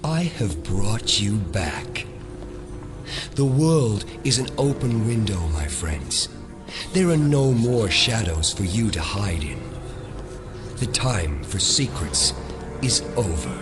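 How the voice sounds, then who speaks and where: A cold, vengeful villain in an audio drama. He speaks slowly and theatrically, with wide swings of pitch and open menace, over a music score. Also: sing-song. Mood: menacing triumph. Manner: theatrical, deliberate, menacing oratory. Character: vengeful resurrected former victim, cold menacing male mastermind.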